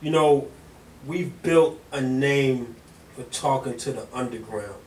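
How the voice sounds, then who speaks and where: Upbeat hip-hop interviewer, roomy and distant. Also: male voice, medium pitch, slow, darkish tone, a little hiss or hum. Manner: upbeat, hype-style questions. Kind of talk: casual interview.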